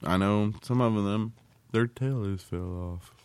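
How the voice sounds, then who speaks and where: A man's voice: lowish voice, unhurried, darkish tone, clear, resonant tone, no room sound. A man chatting casually as a podcast co-host, with a rambling, folksy and teasing way of talking. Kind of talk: casual chat.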